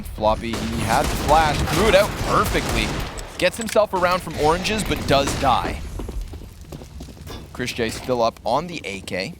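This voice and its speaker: A man works as a play-by-play caster on a live esports broadcast. His pitch sits in the middle for a man. There is clear background noise under his commentary.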